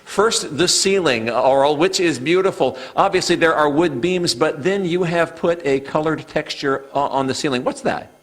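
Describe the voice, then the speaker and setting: A man's voice: on the high side, normal speed, few pauses. A man reporting live for local TV news from inside a house under construction, interviewing with chatty enthusiasm. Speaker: TV field reporter. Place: home under construction, indoors.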